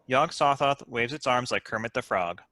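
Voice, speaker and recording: A man reads screenplay stage directions in a crisp narrator role. His voice is mid-pitched and very bright, recorded dry and close. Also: moderate pace, no room sound. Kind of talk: scripted narration.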